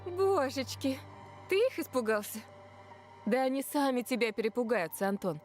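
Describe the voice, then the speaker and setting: A woman's voice: on the high side, animated, normal speed, darkish tone, lightly acted. A woman playing a stern, irritable mother who scolds curtly in a visual novel. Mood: dismissive. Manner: scolding, curt. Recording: music behind the voice. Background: music.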